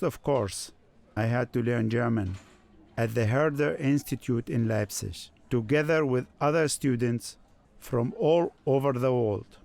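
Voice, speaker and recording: An actor playing a Syrian man looking back on his student years, narrating in a measured, reflective way. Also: mid-range voice, creaky, a little mellow, no room sound. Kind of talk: scripted first-person documentary narration.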